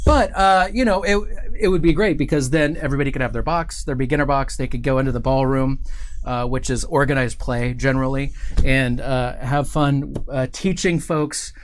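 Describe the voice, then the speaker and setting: A man's voice: mid-range voice, normal speed, a little hiss or hum. A middle-aged man hosting a casual studio livestream, joking and rambling with lots of fillers. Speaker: host. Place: studio set with couch and table.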